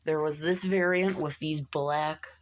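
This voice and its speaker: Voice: mid-range voice, fairly even intonation, unhurried, a little hiss or hum. A hobbyist narrating a hands-on repair casually and informally, muttering asides while working. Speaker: hobbyist repairer showing a fix.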